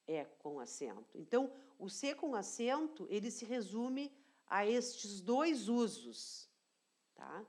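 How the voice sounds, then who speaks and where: Woman teacher, unhurried explainer, repeats points and checks in with the class; grammar lesson read. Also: medium pitch. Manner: slow, deliberate explaining, repeats key phrases, checks in with "tá?". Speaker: language teacher.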